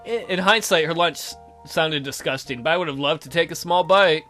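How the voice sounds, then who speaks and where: A man's voice: on the high side, fairly quick, pushed and bright, faint music behind. A young man hosting a let's play, reading a visual novel aloud and adding playful, joking commentary.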